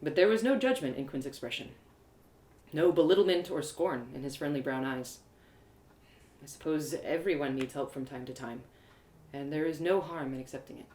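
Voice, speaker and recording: A woman narrating a first-person story live, in an expressive, theatrical storytelling manner. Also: deep voice, moderate pace, reverberant. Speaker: storyteller, audio drama creator.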